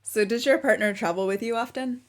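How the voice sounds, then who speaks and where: A woman's voice: on the high side, fairly quick. A woman hosting a casual interview, giving brief reactions and laughing often. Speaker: interview host.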